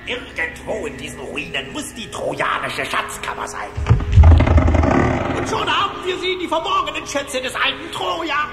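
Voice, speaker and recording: Old greedy cartoon uncle duck, theatrical and swooping, music behind. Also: male voice, very high voice, very animated, normal speed, full character voice. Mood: triumphant, excited. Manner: theatrical, exuberant exclamations. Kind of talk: cartoon audio drama acting. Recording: echoey hall with loud music.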